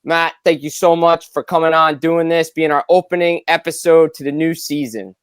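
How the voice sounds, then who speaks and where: A man's voice: a little high, fairly even intonation, moderate pace, smooth, dry recording, studio quality. A man hosting a sports podcast in a casual, conversational style. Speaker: podcast host.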